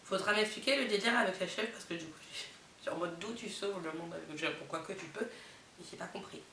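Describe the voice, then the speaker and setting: A woman's voice: lowish voice, a little fast, fairly bright tone. Stuffy-nosed young woman chatting in a roomy space. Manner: chatty, lots of fillers and amused asides. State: has a head cold, nasal. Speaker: vlogger. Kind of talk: casual chatty vlog, reading out summaries with reactions. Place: indoors, not her bedroom. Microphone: camera mic. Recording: roomy, distant camera mic with faint hiss.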